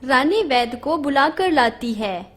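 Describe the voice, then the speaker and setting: Slow, high-voiced woman storyteller. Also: soft background music. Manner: steady, storybook telling. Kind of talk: scripted narration of an animated moral story.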